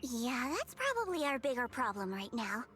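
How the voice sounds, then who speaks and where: A woman's voice: fairly high pitch, big pitch swings, normal speed, fairly bright tone, faint music behind. An actress playing a composed, practical, agreeable young woman in an anime-style game. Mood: matter-of-fact.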